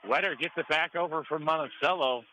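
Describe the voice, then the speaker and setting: A man's voice: fairly high pitch, a little fast. An American man doing live radio play-by-play commentary of a volleyball match. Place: high school gym during a match. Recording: clearly noisy gym ambience. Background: gym ambience and noise.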